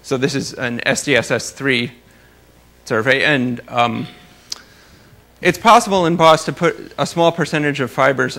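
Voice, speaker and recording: A man's voice: mid-range voice, pushed and bright. A man giving an informal research lecture, explaining technical material with careful hedges and wry, dry asides. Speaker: astronomer giving a research talk.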